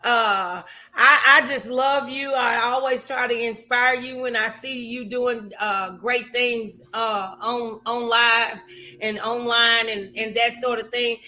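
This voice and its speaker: Very bright-voiced woman hosting a talk show. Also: a little high, fairly even intonation, slow. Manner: effusive, encouraging, repeats phrases. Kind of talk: morning talk show interview.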